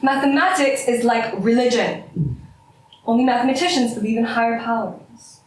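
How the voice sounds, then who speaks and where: A woman's voice: fairly high pitch, lively intonation, moderate pace, recorded from a distance, close to an everyday voice. A woman playing a proud, combative mathematician, sardonic and theatrical, in stage-style dramatic dialogue. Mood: defiant. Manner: sardonic, argumentative, theatrical, sweary. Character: proud, combative woman mathematician, sore loser, bickering with rival. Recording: echoey room, off-mic, faint noise.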